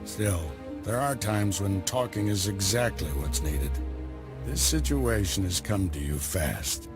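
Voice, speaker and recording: A calm Jedi master with a dry sense of humor, guiding his apprentice. His voice is fairly low and his delivery is steady and measured. Orchestral game music plays clearly underneath. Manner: calm, wry, mentoring. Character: wry, patient older Jedi master and mentor.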